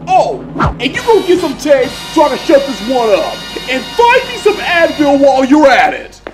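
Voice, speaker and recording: A woman's voice: high voice, wildly swooping pitch, normal speed, music in the background. A woman playing a short-tempered, threatening robber boss, exasperated and bossy, in an audio drama.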